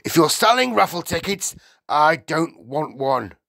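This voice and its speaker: Man putting on a rude, gruff father, pitched up and snappish. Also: sing-song, unhurried, pushed and bright, dry recording, studio quality, exaggerated voice. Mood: suspicious, dismissive. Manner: curt, snapping, dismissive. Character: gruff, rude, impatient middle-aged father.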